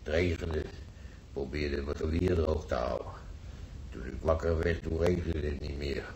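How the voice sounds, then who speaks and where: An actor playing a plain-spoken old veteran grandpa, reminiscing in short phrases in a radio play. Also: male voice, a bass voice, normal speed, a little mellow. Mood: weary, reminiscing. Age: older. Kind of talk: Dutch radio play acting. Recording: roomy, distant, faintly noisy old radio recording.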